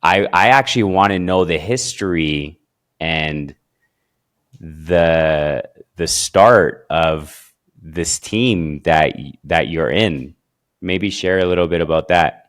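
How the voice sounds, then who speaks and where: A man with a very low voice hosts a podcast interview. He asks friendly questions in a halting way and often repeats words as he searches for the phrasing. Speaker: podcast host. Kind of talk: casual interview.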